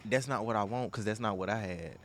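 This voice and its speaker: A man's voice: fairly low pitch, brisk, fairly bright tone. A young man in casual conversation, speaking earnestly and informally with strong emphasis. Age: young adult. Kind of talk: casual chat.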